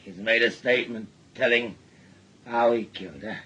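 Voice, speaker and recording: An actor playing a middle-aged, weary, blunt working-class father with a Northern English accent, speaking haltingly and heavy-heartedly in a radio drama. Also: mid-range voice, sharp tone, breathy edge, lo-fi sound. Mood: shaken, insistent. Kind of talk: naturalistic British radio drama.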